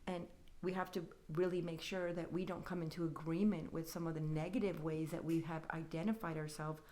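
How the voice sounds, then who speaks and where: Woman guest on a podcast, kinda low voice, earnest and reflective, stacks her points one after another. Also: fairly even intonation, fairly quick, mellow. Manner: thoughtful, earnest, speaks in building lists. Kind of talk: reflective faith-based conversation.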